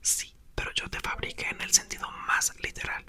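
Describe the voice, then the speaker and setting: A man's voice: normal speed, lightly acted. Patient inventor dad, as a man reads him, fully whispered, storybook ASMR. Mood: explaining. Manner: soft, whispered. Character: inventor father, calm and patient.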